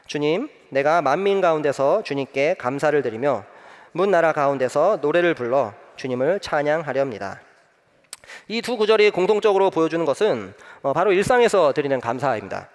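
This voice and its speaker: A young man preaching a sermon as pastor, telling a personal story with many pauses and filler sounds. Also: fairly high pitch, lively intonation, big-room sound. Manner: gentle, frequent "eo" fillers, warm storytelling.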